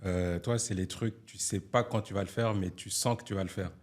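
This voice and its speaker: Very low voiced young guy, producer guest, slangy and chill. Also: steady tone, normal speed. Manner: laid-back slangy talk. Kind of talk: casual chat.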